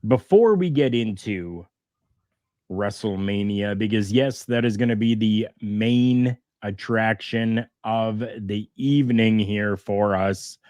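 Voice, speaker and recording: A man hosting a podcast in a slow, unhurried way. His voice sits fairly low and sounds close and dry. He talks easily, with fillers and restarts. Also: darkish tone, dry recording. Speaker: podcast host. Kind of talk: casual wrestling podcast chat.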